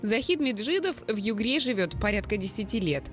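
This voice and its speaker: A woman reporter reading scripted news narration in a measured, solemn voice-over. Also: mid-range voice, expressive, over loud music.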